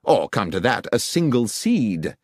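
A man voicing a brisk, incisive male detective in a dramatised audiobook, precise and emphatic, with a coolly sardonic edge. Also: fairly low pitch, very animated, no echo, studio quality, pitched above the performer's own voice. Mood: triumphantly explaining.

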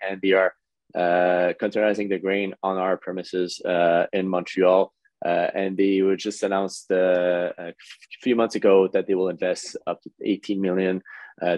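A man's voice: sits low, darkish tone, no echo. A man, a port executive answering on a video call panel, hesitant with many fillers and restarts.

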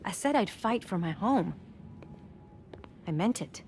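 A middle-aged woman playing a warm, resolute mother of a teenage son in a naturalistic video game scene. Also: mid-range voice, expressive, measured pace, a little hiss or hum.

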